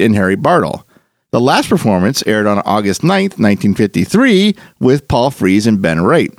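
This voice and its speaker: A man's voice: mid-range voice, dry recording, studio quality. A man hosting a show, reading a scripted introduction. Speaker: podcast host.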